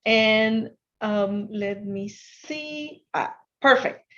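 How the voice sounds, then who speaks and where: A woman's voice: on the high side, drawn-out words, a bit bright, lo-fi sound. A woman from Ecuador with a Spanish accent, a native Spanish speaker, presenting a finance workshop online and often restarting her sentences. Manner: earnest, restarts sentences, asks if the audience can hear.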